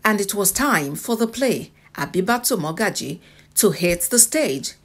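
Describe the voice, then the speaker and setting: A woman's voice: medium pitch, animated, measured pace, breathy edge. A woman with a Nigerian accent reading a scripted television news report as a voice-over.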